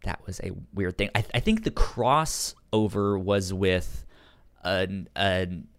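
Stuttering man, slightly low voice, close dry sound. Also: clean tone, no echo. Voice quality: stutters, repeating sounds and syllables. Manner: loose and informal, halting delivery. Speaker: podcast co-host. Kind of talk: casual comic book discussion.

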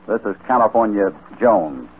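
An actor playing a shrewd, calm American cowboy hero in a radio western, with a measured, probing manner. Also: male voice, lowish voice, lightly acted. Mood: curious. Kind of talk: old-time radio western acting. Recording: muffled, noisy vintage radio recording.

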